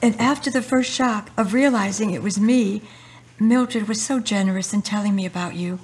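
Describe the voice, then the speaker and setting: A middle-aged woman playing a tender, consoling mother in a radio drama, with a husky voice. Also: medium pitch, normal speed, darkish tone, natural voice. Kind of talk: amateur radio drama acting.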